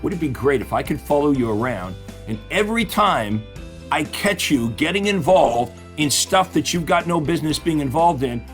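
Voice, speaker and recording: A middle-aged man teaches real estate agents in a lively, upbeat way, slightly high for a man, and keeps checking in with his audience. His voice is going hoarse. Faint music plays underneath. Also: normal speed. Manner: upbeat, asks the audience questions. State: losing his voice. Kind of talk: webinar-style coaching talk.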